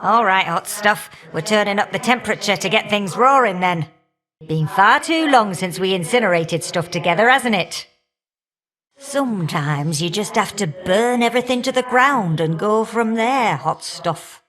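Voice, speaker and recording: A woman's voice: mid-range voice, animated, normal speed, a bit bright, close to an everyday voice. Woman doing a fiery older goddess for a game, cheeky and folksy, teases you fondly. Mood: fiery, gleeful. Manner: folksy, teasing, affectionate endearments and colloquial turns of phrase. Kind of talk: video game character voice acting.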